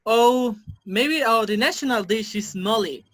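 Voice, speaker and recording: A man in his twenties from Mexico, a native Spanish speaker with a Mexican Spanish accent, answering interview questions haltingly with many fillers. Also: very high voice, a bit bright. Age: 20s. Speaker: interview guest. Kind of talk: casual video interview.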